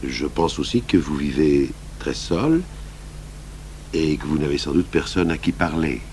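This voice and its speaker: An actor playing a calm, shrewd middle-aged police commissioner in a radio crime drama, speaking in a measured, deliberate, probing way. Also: male voice, extremely low pitch. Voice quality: gravelly. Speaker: lead detective and first-person narrator. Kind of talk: 1980s French radio crime drama acting. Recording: old radio broadcast recording, faint hum and hiss.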